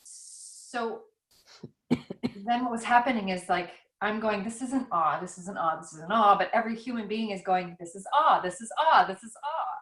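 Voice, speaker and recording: A woman's voice: mid-range voice, lively intonation, moderate pace, a bit bright. A woman author talking over a video call, thinking aloud in a rambling, reflective, self-questioning way. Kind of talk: casual conversation over a call. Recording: roomy echo.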